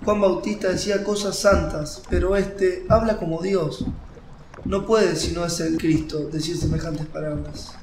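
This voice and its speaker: A man's voice: sits high, steady tone, a little slow, a little mellow. An actor playing a young, devout fisherman in a radio drama, earnest and insistent. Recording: roomy, faint noise, water ambience.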